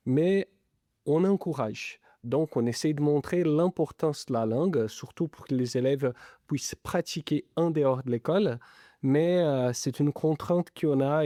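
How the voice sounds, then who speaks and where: A man with an Italian-Brazilian accent, speaking French as a second language, answers questions as a business school lecturer. His voice is mellow and dark, recorded dry and close, and he halts often on fillers while searching for words. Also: medium pitch, no echo. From Italy and Brazil. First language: not French. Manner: hesitant, many fillers. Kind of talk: interview answers.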